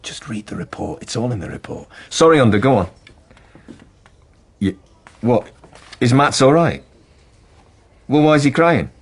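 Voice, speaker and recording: A man's voice: medium pitch, sing-song, unhurried, long pauses, slightly rough, exaggerated voice. A man playing a harried, rambling police detective in a radio drama, droll and easily flustered. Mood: distracted, worried.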